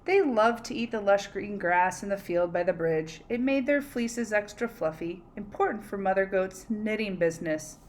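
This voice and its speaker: Expressive woman reading a children's story. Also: medium pitch, normal speed, few pauses. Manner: expressive, conversational, prompting listeners to predict. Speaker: teacher reading aloud to children. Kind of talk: interactive storybook read-aloud with questions.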